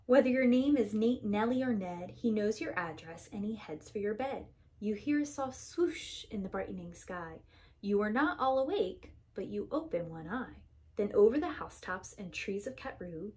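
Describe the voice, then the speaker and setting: Expressive woman reading a children's storybook. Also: mid-range voice, normal speed. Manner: theatrical, emphatic storytelling for young children. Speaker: preschool teacher reading aloud. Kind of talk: expressive children's storybook read-aloud in rhyme.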